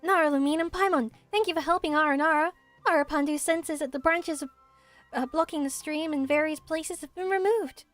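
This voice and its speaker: A woman voicing a small, gentle, earnest forest spirit from a video game, childlike and simply spoken in measured phrases. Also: sits high, soft background music, lightly acted. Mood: solemn.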